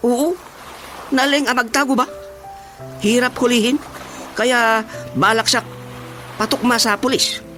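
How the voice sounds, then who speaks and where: A man's voice: very high-pitched, fairly even intonation, a little slow, long pauses, pushed and bright, soft background music, exaggerated voice. A man playing a muddled Indian moneylender in a radio comedy, speaking in broken, pidgin-like phrases. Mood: complaining, puzzled. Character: muddled Indian moneylender complaining about a runaway debtor. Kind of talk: radio comedy drama acting.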